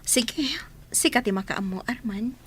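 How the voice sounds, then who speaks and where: An actress playing a kind, gentle woman who reassures a child and plans to be her stepmother. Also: a little high, lively intonation, a little slow, slightly rough, heavily acted.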